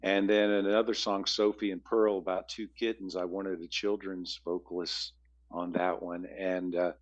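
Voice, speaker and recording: A man with a very low bass voice, answering interview questions as a musician guest, rambling with frequent restarts. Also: flat delivery, normal speed, lo-fi sound.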